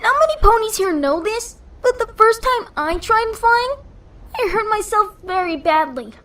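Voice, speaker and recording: A woman voicing a brash, proud young female pegasus pony who coaches and encourages in an animated story reading. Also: extremely high pitch, normal speed, full character voice. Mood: earnest, reflective. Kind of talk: animated fan fiction audio reading.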